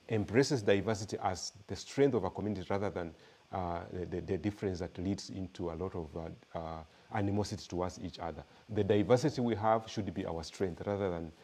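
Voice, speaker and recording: A Kenyan man giving an academic lecture and answering audience questions, measured and searching for words as he talks. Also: on the low side, warm tone. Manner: measured, repeats words while searching for them. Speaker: guest lecturer.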